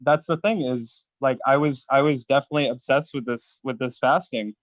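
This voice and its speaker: A man with a middle-pitched voice, recorded dry and close. He talks casually as a guest in a live debate. His delivery is halting and defensive, coming in short pieces. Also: no room sound.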